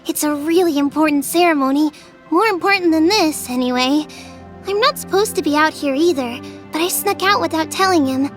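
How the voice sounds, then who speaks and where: A woman's voice: high voice, a little fast, soft background music, natural voice. A woman voicing an earnest, anxious young child from a tribe in a video game. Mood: worried.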